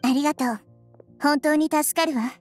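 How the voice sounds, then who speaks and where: A woman voicing a small childlike goddess in an anime game, composed, polite and gentle. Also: high voice, moderate pace, close to an everyday voice. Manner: polite, composed, softly reassuring. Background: game music.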